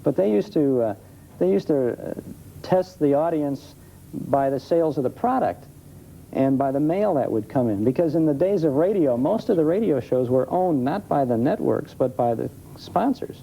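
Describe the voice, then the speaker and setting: Very mellow American man explaining. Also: fairly high pitch, animated, normal speed, creaky. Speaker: guest expert on old-time radio. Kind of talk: casual explanatory interview chat. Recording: muffled 1970s TV recording, faint noise.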